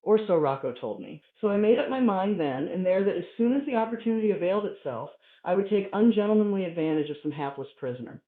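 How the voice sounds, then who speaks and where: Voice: mid-range voice, expressive, fast talker, hardly any pauses. A person reading a humorous first-person story aloud as scripted narration. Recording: echoey room, thin phone-like sound.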